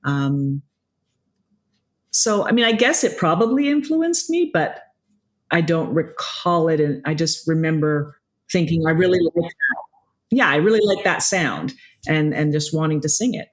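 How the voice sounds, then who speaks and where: A middle-aged woman from Quebec with a low voice, chatting casually as a musician guest, often stopping and restarting. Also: lively intonation, measured pace. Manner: hesitant, many restarts and fillers. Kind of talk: casual interview chat.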